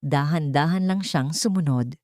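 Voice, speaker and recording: A woman's voice: low voice, moderate pace, warm tone, no room sound, studio quality. A woman with a Filipino accent reading a dramatic love story as a scripted narration.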